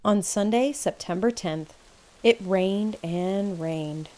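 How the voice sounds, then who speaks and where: A woman storyteller reads a picture book for children. Her voice is mid-pitched and soft-toned, and her delivery is expressive and measured. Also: soft background music.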